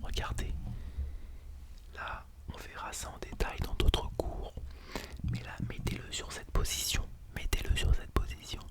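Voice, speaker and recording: An ASMR artist speaking in a soft, unvoiced breathy whisper, playing an instructor giving a lightly playful lesson, recorded close on a binaural microphone. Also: measured pace. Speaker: ASMR artist role-playing as a teacher at an ASMR school. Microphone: close Blue Yeti microphone in binaural mode.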